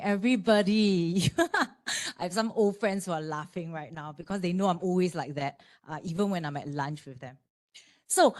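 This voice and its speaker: A woman speaking on a panel to a live audience, with a loud voice and a chatty manner, often checking in with "right?". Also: lowish voice. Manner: chatty, keeps asking "right?". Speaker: panelist, disputes lawyer.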